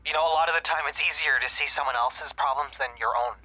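Young male customer service rep, telephone-filtered, bright edge, breathy, patient, guarded, audio drama. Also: fairly high pitch, fast, full character voice. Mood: sheepish, reluctant. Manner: soothing, earnest, hesitant when personal. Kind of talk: naturalistic audio drama acting. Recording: dull telephone-filtered sound.

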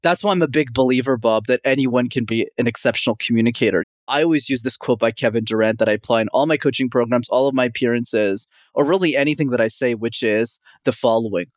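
A man's voice: mid-range voice, quick pace, hardly any pauses, no echo, studio quality. A young man from Montreal, Canada, a communication coach answering interview questions with confident energy and often saying the host's name. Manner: energetic, confident, frequently addresses host by name.

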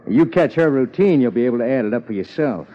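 A man's voice: mid-range voice, animated, quick pace, close to an everyday voice. Man acting a curt, shady tough guy, swooping delivery. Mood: brusque. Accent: American. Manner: curt, slangy, bossy. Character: gruff, shady tough-guy mug hiring a detective. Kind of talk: 1950s radio crime drama acting. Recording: dull lo-fi old radio recording.

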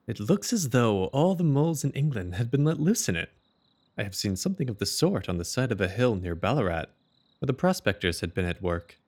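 A man playing a well-travelled, observant gentleman, companion to a detective, in an audio drama. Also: medium pitch, big pitch swings, brisk. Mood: wondering. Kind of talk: audio drama acting of a classic mystery.

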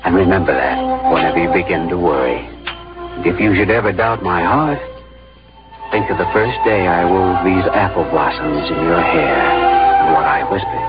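An actor playing an earnest, devoted young frontier farmer in theatrical 1950s radio drama style. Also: male voice, deep voice, moderate pace, close to an everyday voice. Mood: romantic. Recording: muffled old radio broadcast with music.

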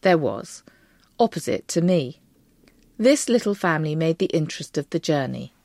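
A woman's voice: sits low, big pitch swings, moderate pace, creaky, darkish tone, no room sound, clean studio sound, heavily acted. A woman reading as a young wartime teacher, observant, in short note-like diary sentences. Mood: observant, composed.

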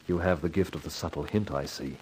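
An actor playing a wisecracking, hard-boiled advertising man who narrates his own story in 1950s radio noir style, with a husky voice. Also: rumbling low, fairly quick. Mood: wry.

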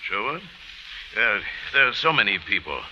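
An actor playing an evasive, self-important gentleman who speaks in a measured, formal way, in 1940s radio drama style. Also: on the low side, big pitch swings. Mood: guarded. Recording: muffled old radio, faint hiss.

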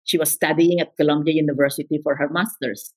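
Older woman, Filipino accent, husky, emphatic, repeats herself. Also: low voice, steady tone, fast talker. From Philippines. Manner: emphatic, repeats words, quotes others. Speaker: interview guest. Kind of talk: storytelling interview.

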